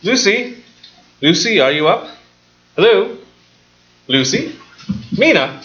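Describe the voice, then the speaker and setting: A man's voice: high-pitched, sing-song, takes it slowly, a bit bright, heavily acted. A man acting an anxious, devoted young fiancé in a live radio play, hesitant and worried, in a dull, slightly noisy recording.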